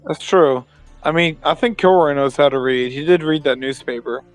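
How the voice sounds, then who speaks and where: A man's voice: fairly high pitch, moderate pace. A man playing a tabletop roleplaying game, chatting and bantering casually in character. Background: faint music.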